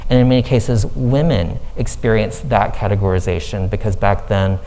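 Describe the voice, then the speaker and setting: A man's voice: lowish voice, steady tone, dark tone, poor audio. Earnest man giving a slide talk. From Thailand. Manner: earnest, measured, explanatory. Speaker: foundation executive director. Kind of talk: panel presentation with slides. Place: event room with live audience.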